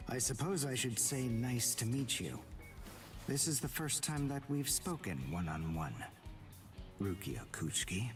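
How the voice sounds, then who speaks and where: Actor as haughty teen male warrior, medium pitch, formal, precise, dramatic anime dub, game music behind. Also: animated, unhurried, far from the mic, natural voice. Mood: cool, resolute. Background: loud game music.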